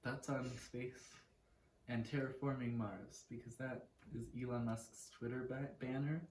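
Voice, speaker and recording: A man's voice: medium pitch, fairly even intonation, a little slow, a little mellow, slight background noise. Young man co-host, dry and joking, recorded in an echoey room. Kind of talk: casual chat.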